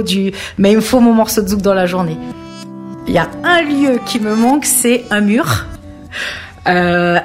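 A woman in her thirties who grew up in French Guiana, speaking hesitantly about her life with frequent pauses and fillers. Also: mid-range voice. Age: 30s. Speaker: interviewee. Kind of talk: reflective personal testimony.

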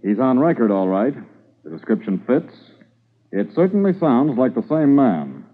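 A man playing a brisk, authoritative senior police officer in a 1950s radio drama, measured and questioning. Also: medium pitch, sing-song. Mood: businesslike. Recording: tinny narrow-band old radio recording.

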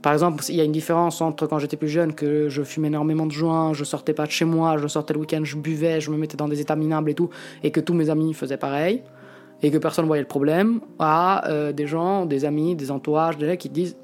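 A man in his late twenties from Italy, talking frankly and reflectively in an interview and often pausing to find his words. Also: fairly high pitch, little pitch movement, darkish tone. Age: late 20s. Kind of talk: candid personal testimony.

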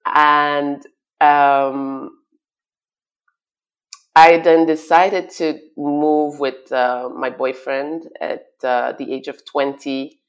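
Brief: woman guest, very deep voice, unhurried, hesitant with fillers. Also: steady tone. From Belgium. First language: French. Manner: hesitant, many fillers and restarts, laughs often. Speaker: guest, stylist. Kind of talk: conversational interview.

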